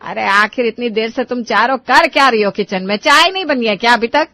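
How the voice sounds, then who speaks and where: Woman putting on a highish-voiced fussy mother-in-law. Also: animated, fairly quick, sharp tone. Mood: scolding. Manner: fussy, scolding. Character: nagging middle-aged mother-in-law, meek before her elders. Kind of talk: acted dialogue in a cartoon story.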